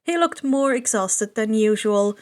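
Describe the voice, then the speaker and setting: A woman's voice: a little high, animated, a little slow, a little mellow. A woman narrating fan fiction aloud as a podfic reader.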